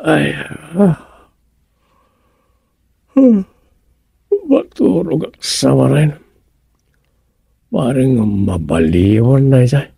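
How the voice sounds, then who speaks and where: A man playing a muddled comic storyteller in a radio comedy, halting and muttering with exclamations. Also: mid-range voice, big pitch swings, creaky, dark tone, breathy edge, no room sound, full character voice. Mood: flustered.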